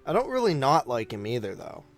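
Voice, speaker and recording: A man co-hosting a movie podcast, talking casually in a halting, noncommittal way. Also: medium pitch, huge pitch swings.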